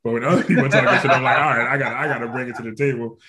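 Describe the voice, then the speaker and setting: A man's voice: medium pitch, very fast, a crowd in the background. A man hosting a casual podcast, swearing freely and laughing often. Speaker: podcast host. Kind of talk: casual chat.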